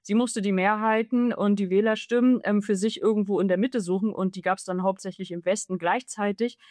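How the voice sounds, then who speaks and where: Author in her 30s, interview guest; animated, long run-on answers, dry close recording. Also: medium pitch, fairly quick, no echo.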